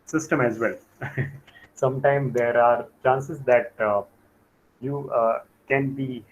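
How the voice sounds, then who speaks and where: A man from India teaching a live online class, speaking slowly with many hesitations and fillers. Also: mid-range voice. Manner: slow, halting delivery with frequent "uh" fillers. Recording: dull lo-fi call audio with faint noise.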